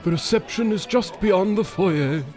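Formal servant played by a man, notably high voice, stiff, clear music behind. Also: unhurried, darkish tone. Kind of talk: audio adventure drama acting.

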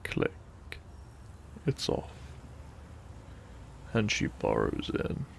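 A man narrating a gentle sleep-aid story in a soothing, hushed way, lingering between short phrases. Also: extremely slow, a little hiss or hum. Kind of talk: soft, gentle bedtime story narration, ASMR-like.

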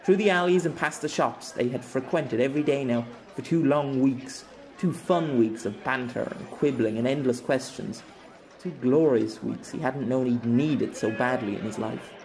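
A man's voice: mid-range voice, moderate pace, darkish tone, slight background noise, poor audio. A man reading a scripted fiction story as a dramatic audiobook narrator.

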